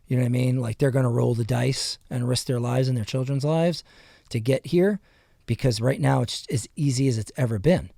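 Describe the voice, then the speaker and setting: A man's voice: medium pitch, fairly quick, gravelly, dark tone, dry recording, clean studio sound. A man telling stories animatedly in casual podcast chat, often saying "bro". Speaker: podcast guest. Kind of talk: casual storytelling.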